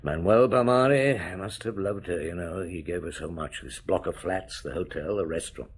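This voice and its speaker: An actor playing a shrewd, weary police chief inspector in a radio drama, measured and authoritative. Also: male voice, sits low, breathy edge. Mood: explaining.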